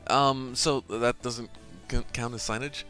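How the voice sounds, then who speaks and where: A man's voice: medium pitch, steady tone, unhurried, over music. A man doing let's play commentary and reading game text aloud, rambling with asides. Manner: rambling, full of ums and asides.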